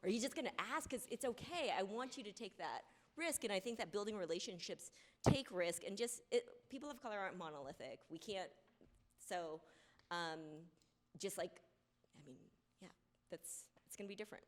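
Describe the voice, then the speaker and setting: A woman's voice: mid-range voice, lively intonation, brisk. A woman who grew up in the U.S., speaking on a college panel in a conversational way and often checking in with "right?". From United States. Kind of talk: panel discussion. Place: college lecture hall.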